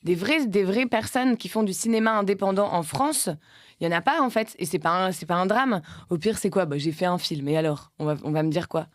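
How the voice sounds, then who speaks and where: A woman's voice: on the low side, brisk, no room sound. Woman, late 30s, actress-producer type, loose rambling interview talk, dry sound. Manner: rambling, many restarts and fillers.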